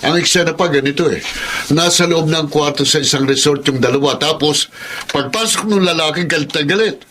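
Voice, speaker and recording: An actor playing a blunt, earnest drunkard husband who tells gossip colloquially in a Tagalog radio drama. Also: male voice, a little high, a little fast, sharp tone, a little hiss or hum. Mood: gossipy.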